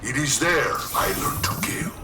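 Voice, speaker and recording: A man's voice: medium pitch, expressive, normal speed, close to an everyday voice. A man playing an arrogant, brutal hulking villain in a video game, imperious and menacing, in an echoey recording with music. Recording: echoey, loud music behind.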